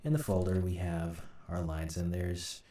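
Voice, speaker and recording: Low, roomy-sounding man giving a tutorial. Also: little pitch movement, warm tone. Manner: halting, thinking aloud while working. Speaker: host, audio drama sound designer. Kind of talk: informal screen-recorded software tutorial. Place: indoor room at a computer. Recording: roomy, distant mic, light noise. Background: keyboard typing and mouse clicks, faint noise.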